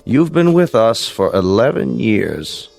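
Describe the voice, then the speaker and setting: An actor playing a courteous, secretive senior scientist, formal and genteel, in a radio drama. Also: male voice, mid-range voice, very animated, slow, vocal fry, darkish tone, faint music behind, full character voice. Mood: measured, secretive.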